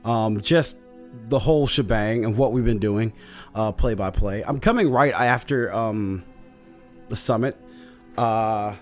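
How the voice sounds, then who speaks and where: Guy a bit hoarse going over his d&d session, rambly, faint music under it. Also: fairly low pitch, measured pace. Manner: rambling, lots of fillers and restarts. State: slightly hoarse. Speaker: podcast host recapping a tabletop campaign. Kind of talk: casual solo recap.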